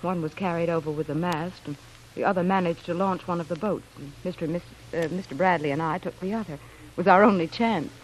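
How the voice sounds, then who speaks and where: A woman's voice: fairly low pitch, fast, lightly acted. Woman acting a plucky castaway wife, old noisy radio. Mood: earnest storytelling. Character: plucky shipwrecked young wife spinning a cover story. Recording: noisy vintage radio recording.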